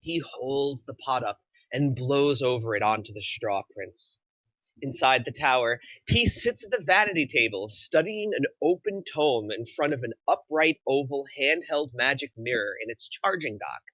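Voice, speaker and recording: A man's voice: mid-range voice, animated, normal speed, sharp tone, dry recording. A man reading scripted stage directions as the narrator of a screenplay table read. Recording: slightly dull, dry recording.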